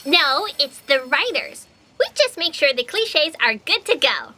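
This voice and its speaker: A woman's voice: high-pitched, very animated, unhurried, sharp tone, full character voice. A woman playing a blunt, eager spaceship crew member in a comedic sci-fi audio drama.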